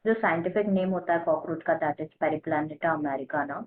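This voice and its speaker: An Indian woman teacher explaining a lesson, repeating key terms slowly as if dictating. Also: low voice. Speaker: biology teacher. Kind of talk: explanatory lesson in mixed Hindi and English. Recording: muffled phone-like narrow-band audio.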